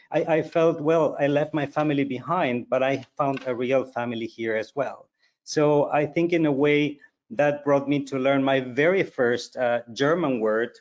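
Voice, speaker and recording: A man from Argentina, speaking emotionally at a virtual company event, with frequent fillers and restarts. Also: mid-range voice. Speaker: company team member and guest. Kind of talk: heartfelt virtual event remarks. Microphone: video call.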